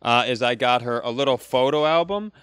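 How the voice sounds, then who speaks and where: Smooth, low-pitched man bantering casually. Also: a little fast, a bit bright, dry recording, clean studio sound. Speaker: comedy podcast co-host.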